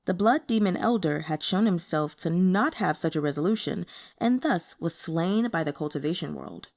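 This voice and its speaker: A woman reading fiction aloud as an expressive audiobook narrator. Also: lowish voice, moderate pace. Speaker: audiobook reader.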